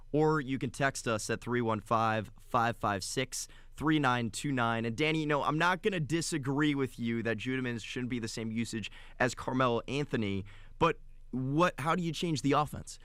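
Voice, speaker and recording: A man's voice: mid-range voice, moderate pace, fairly bright tone, clean tone, no echo. A man co-hosting sports talk radio in a conversational style, putting questions and prompts to his partner. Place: radio studio.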